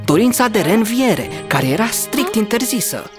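Lively female fairy-tale narrator. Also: a little high. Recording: music behind.

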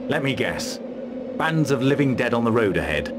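Man as a gruff, animated mercenary commander. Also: medium pitch, normal speed, very noisy, lightly acted. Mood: dry, wary. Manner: curt, dry, commanding. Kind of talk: fantasy video game acting.

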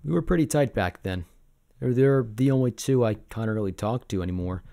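A man playing an easygoing, sentimental sabertooth boyfriend in an ASMR roleplay, reminiscing in a casual, gently hesitant way. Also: on the low side, lively intonation, brisk, gravelly. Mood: fond, nostalgic.

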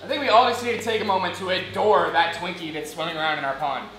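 A man in his twenties co-hosting a fish vlog, loud and full of enthusiastic exclamations. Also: high-pitched, fairly even intonation, fast, a little hiss or hum. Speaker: co-host. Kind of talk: excited vlog banter.

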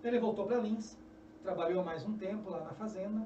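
A middle-aged man with a Brazilian Portuguese accent, a journalist and author telling history as a guest, animated and often restarting phrases, in a small podcast studio at a desk mic. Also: sits high, fairly quick. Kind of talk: storytelling interview answers. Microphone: desk mic on a stand. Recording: echoey, distant, dull, noisy.

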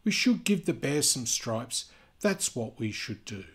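A man voicing a thoughtful, helpful female zebra from a picture book, speaking in a measured way. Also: mid-range voice, sing-song, a bit bright, breathy edge, heavily acted.

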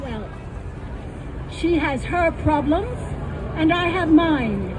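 An older woman reads her poems aloud from a small outdoor stage, her voice high and deliberately paced. She delivers the lines dramatically, jumping sharply up in pitch. The stage microphone picks up a faint outdoor hum behind her. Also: a crowd in the background. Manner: animated, dramatic delivery with sudden leaps up in pitch. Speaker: poet. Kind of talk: poetry reading. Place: small outdoor stage under trees at a festival.

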